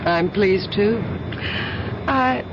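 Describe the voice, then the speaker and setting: An actress playing a friendly, polite, chatty airline traveller in a radio drama, with a gracious, light manner. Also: female voice, mid-range voice, very slow. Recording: dull, noisy old radio recording.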